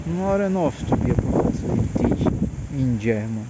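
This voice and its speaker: A tired Brazilian man in his late thirties with a Portuguese accent, talking slowly and haltingly to camera in a personal monologue, often restarting his words. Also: medium pitch, lively intonation. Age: 30s. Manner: hesitant, halting, repeats words. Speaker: vlogger. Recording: dull lo-fi audio with background noise.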